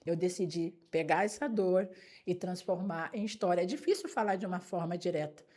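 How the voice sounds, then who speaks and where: A woman's voice: on the low side, fairly quick, a little mellow. Earnest Brazilian woman in her forties. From São Paulo state, Brazil. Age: 40s. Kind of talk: talk show conversation and testimony.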